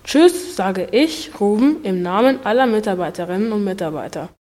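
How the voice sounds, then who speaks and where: A woman's voice: mid-range voice, lively intonation, normal speed. A woman presenting a radio programme, reading a scripted sign-off. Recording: long reverberant hall sound.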